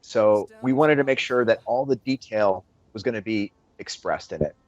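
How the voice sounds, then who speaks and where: A man's voice: fairly low pitch, normal speed, darkish tone, muffled recording. A man answering interview questions as a game developer, earnest and listing details one by one.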